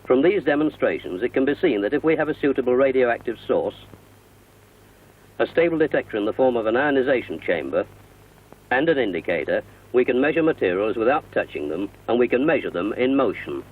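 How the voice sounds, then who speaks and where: A British man narrates in the formal style of a 1960 industrial film, explaining each point in measured phrases. His voice is slightly rough and husky at a middle pitch. The sound is thin and narrow, like an old film soundtrack. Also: sing-song. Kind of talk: 1960 newsreel scripted narration. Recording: muffled, narrow-band vintage film soundtrack.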